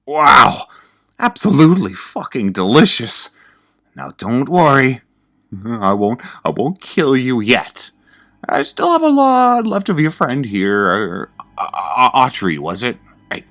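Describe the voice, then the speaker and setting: Man acting a gleeful, menacing cannibal killer, pitched up. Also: huge pitch swings, takes it slowly, pushed and bright, exaggerated voice. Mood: gloating, savoring. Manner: menacing, taunting, savoring. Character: gleeful sadistic male cannibal killer, hungry and taunting. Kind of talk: horror story character acting.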